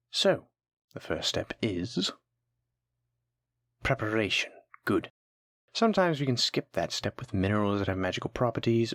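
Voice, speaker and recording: A voice actor playing a kind, patient alchemy teacher in a fantasy audio roleplay, gently encouraging and instructing a new apprentice. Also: mid-range voice, breathy edge, dry recording. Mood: warm, teaching. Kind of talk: soft-spoken scripted fantasy audio roleplay.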